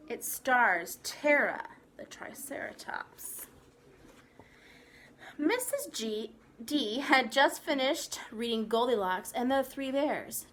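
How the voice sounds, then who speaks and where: A woman preschool teacher reading a picture book aloud at circle time, animated and sing-song, pausing to ask the children questions. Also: high voice. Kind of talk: storybook read-aloud to young children.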